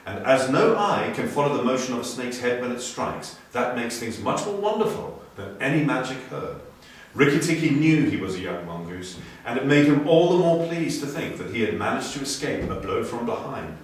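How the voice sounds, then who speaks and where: A man reading a story dramatically, moving between hushed and emphatic delivery. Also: mid-range voice. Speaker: story reader. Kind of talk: expressive storybook narration. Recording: very echoey room, distant, faint noise.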